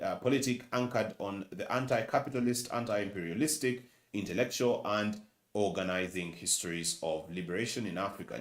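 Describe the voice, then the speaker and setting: A man from Uganda with a Luganda-influenced accent, moderating a press conference and formally introducing panelists with a measured delivery and some fillers. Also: medium pitch, echoey room. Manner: measured, reads bios with fillers.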